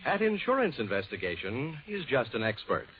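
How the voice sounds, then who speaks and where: A man's voice: medium pitch, very animated, brisk, slight background noise. A man announcing a radio show in scripted 1940s style, introducing it with showy emphasis. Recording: dull old radio recording, loud music.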